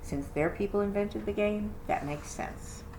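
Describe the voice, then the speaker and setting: A woman's voice: medium pitch, measured pace, pitched above the performer's own voice, close to an everyday voice. Woman voicing a dry, teasing schoolboy, echoey. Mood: skeptical, probing. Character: teasing, skeptical, concerned middle-school boy, best friend. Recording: roomy echo, background noise.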